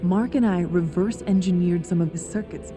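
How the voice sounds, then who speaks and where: A woman's voice: fairly low pitch, normal speed, music in the background, close to an everyday voice. A young woman playing a determined, caring corporate insider in a video game, earnest and reassuring. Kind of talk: video game cutscene acting.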